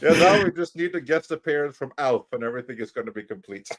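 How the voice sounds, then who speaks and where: Wry, slightly high-voiced male host. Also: lively intonation, fast. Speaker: host of a live stream panel. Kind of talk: casual chat.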